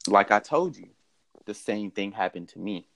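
A man's voice: fairly low pitch, lively intonation, normal speed, creaky, a bit bright. A man telling a story voices a knowing homeless man who talks in cryptic, repeated, reassuring phrases. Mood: knowing, assured.

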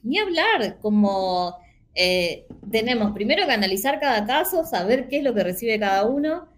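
A woman's voice: a little high, normal speed. An Argentine woman with a Rioplatense accent, speaking earnestly in a casual panel discussion with frequent fillers. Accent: Rioplatense Spanish. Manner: earnest, animated, many fillers.

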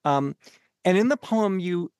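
A man from Canada hosting a podcast interview, asking long earnest questions with many halting fillers. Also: sits high, expressive, darkish tone, smooth, no room sound, clean studio sound.

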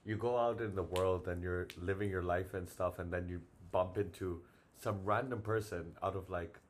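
A man's voice: sits low, steady tone, moderate pace, a little hiss or hum. A man chatting as a podcast guest, laid-back and casually swearing.

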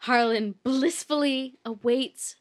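A woman's voice: sits high, slow pace. A woman hosting and reading stage directions in a casual, informal way among a group of players. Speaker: stage directions reader and game host. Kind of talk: casual group chat and narration.

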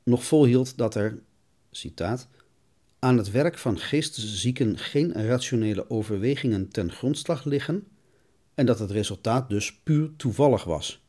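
A man's voice: medium pitch, unhurried, warm tone. A man narrating an essayistic podcast as its host.

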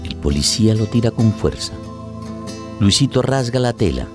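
A man with a deep voice narrates a radio drama. His phrases are short, measured and deliberate, set over prominent music. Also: expressive.

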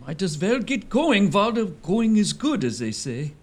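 A man's voice: sits high, big pitch swings, moderate pace. A man playing a hapless, timid young suitor, plaintive and comically indignant, in a gothic comedy audio drama. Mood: resigned.

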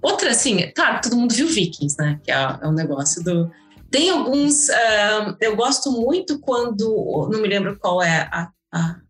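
A woman's voice: medium pitch, big pitch swings, moderate pace, fairly bright tone, reverberant, faint music behind. A woman speaking as a guest historian in a casual interview, rambling with many restarts and fillers.

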